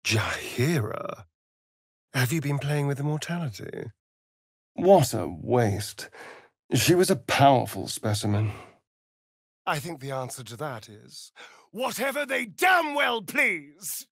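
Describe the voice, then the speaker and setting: An actor playing a centuries-old, flamboyant and sardonic vampire rogue in a video game, with an arch, theatrical drawl. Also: male voice, medium pitch, very animated, slow, long pauses, a bit bright, no room sound, studio quality, full character voice. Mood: haughty, playful. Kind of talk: video game character acting.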